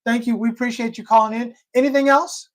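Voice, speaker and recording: A man's voice: extremely high pitch, steady tone, quick pace. Male co-host of a call-in livestream. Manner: restarts often, emphatic and friendly. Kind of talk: casual call-in livestream chat.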